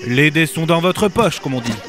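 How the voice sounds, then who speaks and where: A solemn colonel played by a man gives a formal radio-play briefing. His voice sits slightly high over clear music. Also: expressive, normal speed, close to an everyday voice. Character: formal male colonel, solemn mission commander.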